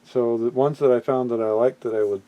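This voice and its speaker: Mellow-voiced man, halting and musing aloud. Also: mid-range voice, fairly even intonation, no room sound, dull audio. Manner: halting, musing aloud, trailing off mid-thought. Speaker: hobbyist video presenter. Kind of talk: casual unscripted product walkthrough.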